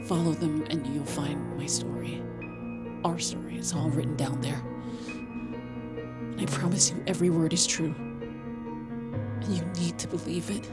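An actress playing a loving, grieving young mother in an audio drama, speaking haltingly and tenderly. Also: deep voice, fairly even intonation, loud music behind the voice. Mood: tender, sorrowful. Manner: halting, tender, emotionally weighted. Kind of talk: intimate audio drama monologue and narration.

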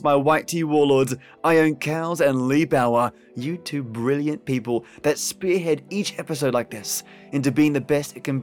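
Chummy male podcast host over music. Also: medium pitch. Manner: chummy, effusive, colloquial. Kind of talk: scripted friendly podcast outro.

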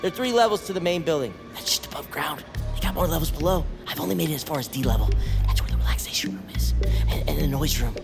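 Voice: mid-range voice, sing-song, fast, gravelly, music in the background. An actor playing a manic, paranoid, chatty psychiatric patient in an audio drama, rambling theatrically and slipping into whispers like a playful tour guide. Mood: playful, conspiratorial.

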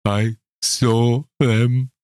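A man's voice: medium pitch, little pitch movement, very slow, a little mellow, clean tone, dry recording, clean studio sound, voice pushed up. A man voicing a shrieking, terror-stricken man. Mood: hysterical. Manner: frantic, trembling, shrieking. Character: terrified hysterical man haunted by visions. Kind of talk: audiobook character voice.

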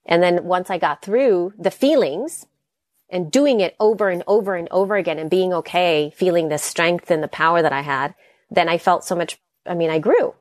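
A woman's voice: on the low side, dry recording. Woman with a slight Spanish accent. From El Salvador. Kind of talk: casual conversation.

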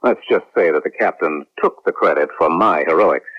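Manipulative space colonel, resentful, very low gravelly-rough voice, vintage radio drama. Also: male voice, brisk. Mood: bitter, boastful. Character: scheming, resentful male colonel, smooth manipulative instructor. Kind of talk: late-1970s radio drama acting. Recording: muffled, lo-fi vintage radio recording.

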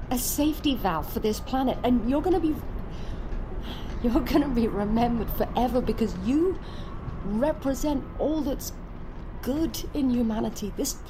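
A woman's voice: on the high side, background noise. An actress playing an earnest, loving middle-aged mother urging on her astronaut son in an audio drama.

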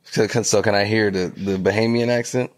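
A man's voice: on the low side, fairly even intonation, a little fast. A man hosting a podcast, curious and easygoing, prompting his guest with short questions and plenty of "mm-hmm". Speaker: podcast host. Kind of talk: casual interview chat.

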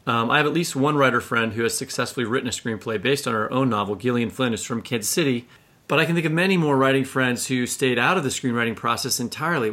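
A man's voice: mid-range voice, fairly bright tone. A man hosting a podcast, asking casual interview questions in a conversational way.

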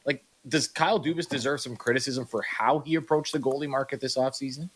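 A man's voice: medium pitch, steady tone, a little fast, fairly bright tone, husky. A man hosting sports radio, asking a guest long and detailed questions about hockey.

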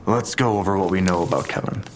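An actor playing a skeptical police detective in an audio drama, talking in a terse, dry, deliberate way. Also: male voice, low voice, a little hiss or hum, lightly acted. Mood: pensive, skeptical.

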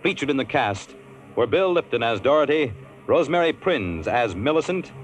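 A man announcing in a formal, measured 1950s radio style, reading scripted narration and credits on an old broadcast recording. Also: medium pitch, very animated, poor audio. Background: music.

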